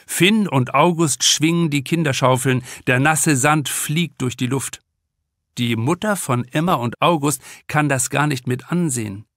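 A man reads a novel aloud in scripted audiobook narration. His voice sits at a medium pitch, and the recording is close and dry with no room sound. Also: fairly bright tone, no room sound. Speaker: author reading own audiobook.

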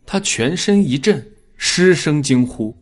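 A man narrating a dramatic scripted story in a measured, expressive storytelling manner. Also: a little high, faint music behind. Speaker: audiobook narrator.

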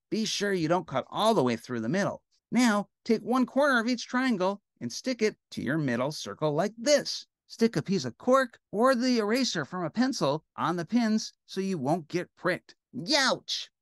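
A performer putting on a high-pitched, cheerful little girl. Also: very animated, normal speed, no room sound, studio quality, natural voice. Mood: cheerful, helpful. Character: cheerful young girl who loves making crafts.

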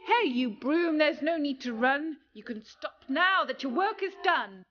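A woman's voice: sits high, lively intonation, a little slow, dull audio, pitched above the performer's own voice. A woman voicing a young boy apprentice from a storybook, eager and self-important. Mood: flustered. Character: cocky young boy apprentice, easily flustered.

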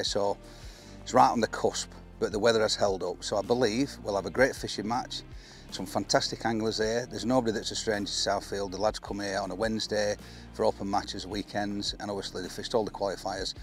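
A man's voice: lowish voice, fairly even intonation, brisk, soft background music. A man in his fifties with an English accent, presenting a fishing match to camera outdoors. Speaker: angler and presenter. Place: outdoors on an open reservoir bank.

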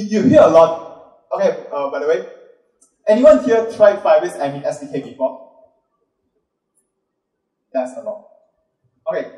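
A Malaysian man in his thirties giving an upbeat conference talk and quizzing the audience with quick questions. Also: high voice, darkish tone. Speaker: conference speaker. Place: large dark auditorium with a stage. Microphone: handheld mic. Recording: roomy hall sound from a distant camera.

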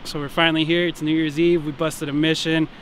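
A man's voice: a little high, little pitch movement, a little fast, a bit bright, a little hiss or hum. A man exploring outdoors by a mountain river, chatting in a laid-back, slangy way. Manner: laid-back, slangy, says bro and dope. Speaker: vlogger, co-host exploring. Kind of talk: casual on-camera exploring chat.

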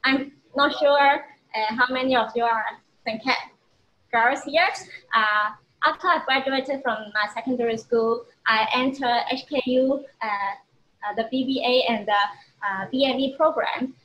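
Young Hong Kong woman, fairly high voice. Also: steady tone, unhurried, a bit bright, poor audio. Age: 20s. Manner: earnest, slightly hesitant. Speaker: research student panelist. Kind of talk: panel interview. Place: studio, indoors.

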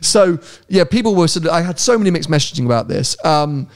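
A middle-aged man co-hosting a casual podcast chat, rambling and chatty, his voice jumping up when he gets excited, recorded on a handheld mic in a hotel room. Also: fairly high pitch, fairly quick. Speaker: podcast co-host. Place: hotel room by a big window. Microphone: handheld mic with headphones.

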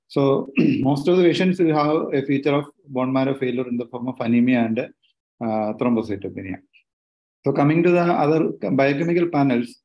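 A man in his thirties with an Indian accent, a doctor explaining haltingly as a panelist on a video call. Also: mid-range voice, dark tone. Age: 30s. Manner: halting, hesitant delivery with frequent pauses. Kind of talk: explanatory medical panel answer. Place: small room indoors. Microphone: laptop on a video call. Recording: dull lo-fi video call audio.

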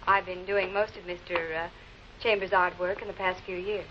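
A woman's voice: lowish voice, level tone, normal speed, a little hiss or hum, natural voice. An actress with an American accent playing a poised woman in a 1940s television murder mystery. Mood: guarded, explaining. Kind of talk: 1940s American television crime drama acting. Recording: muffled old film soundtrack with music.

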